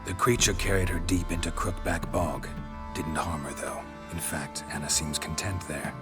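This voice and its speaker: An actor playing a stoic, world-weary monster hunter in a video game, terse and matter-of-fact. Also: male voice, low-pitched, fairly even intonation, moderate pace, over music, close to an everyday voice. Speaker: game protagonist. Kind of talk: restrained video game voice acting.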